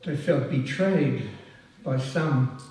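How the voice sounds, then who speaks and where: An older man, born in France, giving a halting, self-deprecating speech at a ceremony, full of ums and restarts. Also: mid-range voice, darkish tone. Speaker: past president honoured at a society oration. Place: large hall at a public event. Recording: echoey hall, voice from far off. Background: audience laughing.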